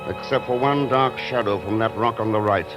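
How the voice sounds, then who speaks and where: An actor playing a wry, unflappable secret agent in a 1940s radio drama, dry and authoritative. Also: male voice, lowish voice, moderate pace, over music, natural voice. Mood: solemn. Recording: muffled, noisy vintage radio recording.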